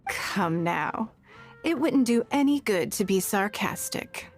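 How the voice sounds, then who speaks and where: An actress playing a dignified, shrewd noblewoman in a video game, measured and gently teasing. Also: mid-range voice, lively intonation. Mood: poised. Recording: music behind the voice. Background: background music.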